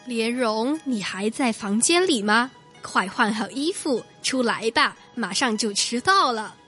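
A woman acting a caring, gently nagging mother in a radio drama. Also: on the high side, expressive, a little slow, soft background music. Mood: hurrying.

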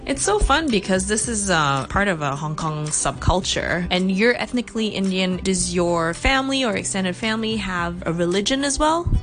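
A woman's voice: medium pitch, expressive, moderate pace, hardly any pauses, a bit bright. A woman hosting a podcast who reads a scripted intro and asks her guest questions in a friendly, upbeat way. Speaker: podcast host. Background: music.

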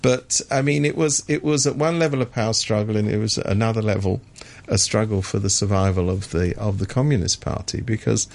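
A man with a gravelly, creaky voice talks in a radio studio as a regular commentator. He sounds indignant and sardonic, and he often trips over the first words of a sentence before pushing on. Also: on the low side, fairly quick, a little mellow. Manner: indignant, sardonic, many restarts.

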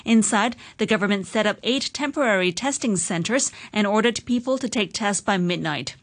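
A woman anchoring a radio news bulletin, reading the headlines in a measured, even newsreader delivery. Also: medium pitch.

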